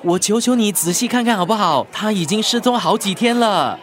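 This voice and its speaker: Radio drama husband, male: high-pitched, agitated, pleading, desperate to find his wife. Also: lively intonation, fairly quick. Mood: desperate, urgent. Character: frantic husband searching for his missing wife. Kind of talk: Chinese-language radio drama acting.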